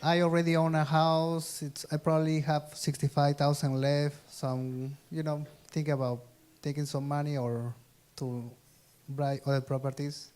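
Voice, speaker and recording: Man from Peru, flat voice, mellow tone, halting. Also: a little high. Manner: halting, searching for words.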